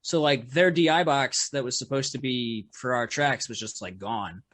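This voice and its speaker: A man, a musician guest on a podcast, with a loud voice, telling a story in casual chat full of fillers and restarts. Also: mid-range voice, normal speed, no room sound. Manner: rambling, lots of fillers and restarts, laughs often.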